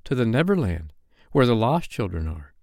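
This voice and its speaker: A man's voice: medium pitch, big pitch swings, normal speed, a little mellow, no echo, studio quality. A man voicing a young girl who tells a bedtime story in a patient, motherly, slightly chiding way. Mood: triumphant, complacent.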